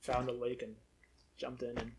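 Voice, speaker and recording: A young man from Ethiopia talks in an easygoing way, with a mid-range voice and a lot of "you know". A crowd murmurs clearly behind him. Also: medium pitch, completely flat delivery, normal speed. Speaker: guest. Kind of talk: casual chat.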